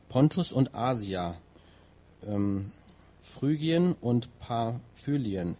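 A man interpreting a sermon phrase by phrase, and at times reading a long scripture passage at a steady pace. His mid-pitched voice has a husky grain. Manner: translates line by line, with some fillers and restarts.

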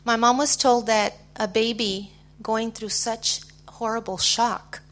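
A middle-aged woman with an American accent giving a personal testimony in short phrases, pausing for an interpreter. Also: a little high, steady tone, a bit bright. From United States. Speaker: guest speaker giving testimony.

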